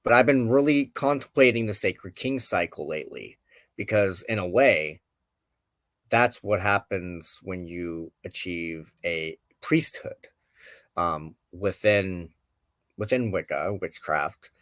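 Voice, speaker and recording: A man with a low voice talks in a slow, halting way, as if working out each thought while he speaks. He hosts an informal, reflective show, and the sound is close and dry, with very little room in it. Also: fairly bright tone, dry recording. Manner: halting, searching for words, contemplative. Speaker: host of a spiritual show. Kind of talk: informal reflective talk to camera.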